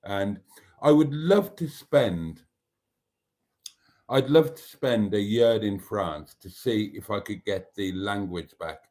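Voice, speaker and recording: An older man from Wales, with Welsh as his first language, chats slowly and at length as he answers comments on his live stream. His voice sits low, and he drifts from point to point with dry, wry asides. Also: darkish tone. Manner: chatty, rambling, wry asides. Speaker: livestream host. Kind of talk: casual live chat reading comments.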